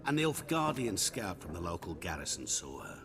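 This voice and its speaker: An actor playing a sly wandering merchant in a fantasy game, florid and courteous, ingratiating and teasing. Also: male voice, sits low, sing-song, moderate pace. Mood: matter-of-fact, genial. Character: sly, humble-seeming wandering male merchant, theatrical and courteous. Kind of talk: fantasy video game acting. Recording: game dialogue with clear background noise.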